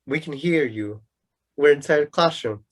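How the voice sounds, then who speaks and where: An actor playing a know-it-all young student in a radio play, lecturing pedantically and with some exasperation in a husky voice. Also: medium pitch, normal speed, natural voice. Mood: confident. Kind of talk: amateur radio play acting.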